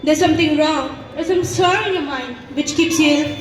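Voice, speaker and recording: A woman's voice: high-pitched, moderate pace, background noise, natural voice. A young woman acting an earnest, insistent noble Roman wife in a school play, pleading in a declamatory way. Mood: concerned, probing. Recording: echoey stage from far off, music behind.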